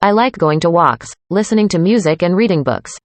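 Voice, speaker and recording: A woman reading a student's part in a scripted classroom conversation, calm and plain. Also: lowish voice, a little fast, dry recording. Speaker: student in a dialogue.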